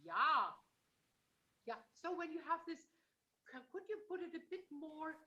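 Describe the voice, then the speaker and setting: A woman's voice: high-pitched, very animated, a little slow, muffled recording. A middle-aged woman coaching a singer over a video call, sometimes singing phrases to show them and calling the singer "darling.". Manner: demonstrates phrases by singing, then explains warmly with terms like "darling". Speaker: voice teacher. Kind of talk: masterclass coaching.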